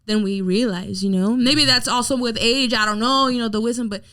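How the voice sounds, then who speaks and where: A woman's voice: a little high, fairly quick, bright, forceful tone, no echo, clean studio sound. A woman in her thirties, a musician guest in a casual interview, telling her story candidly and with animation. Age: 30s.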